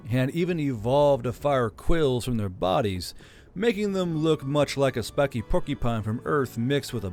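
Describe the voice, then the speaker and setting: A man reading an audiobook chapter in a steady storytelling voice. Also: medium pitch. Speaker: audiobook narrator. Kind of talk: audiobook narration.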